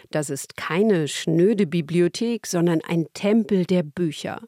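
A woman presenting in a studio, calmly introducing the next report. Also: on the low side, no echo.

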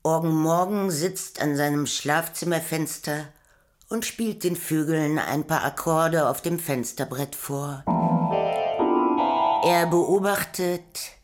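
A woman's voice: sits low, slow, faint music behind. A woman giving scripted literary narration in a radio play. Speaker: second narrator.

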